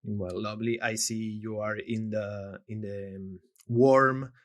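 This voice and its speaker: Man hosting, halting and filler-heavy. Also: lowish voice, fairly even intonation. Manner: hesitant, many fillers and restarts. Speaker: podcast host and journalist. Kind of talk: casual podcast interview.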